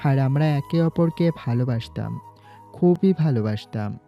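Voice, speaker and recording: Male actor as calm young student, guarded and polite; muffled lo-fi sound, faint music. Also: mid-range voice, measured pace, gravelly. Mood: sad, sincere. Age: young adult. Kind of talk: Bengali audio story acting.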